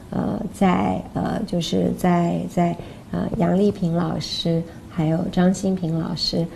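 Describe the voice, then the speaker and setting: Woman, mellow and slightly gravelly, informal course presenter with hesitant delivery, some background noise. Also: lowish voice. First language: Mandarin Chinese. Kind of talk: informal explanation to camera.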